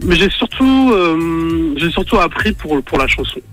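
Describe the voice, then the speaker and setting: A man's voice: on the high side, big pitch swings, measured pace, a bit bright, faint music behind, rough recording. Hesitant young-sounding man on radio. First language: French. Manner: hesitant, many fillers and restarts. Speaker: guest artist, singer and actor. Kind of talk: radio interview answers.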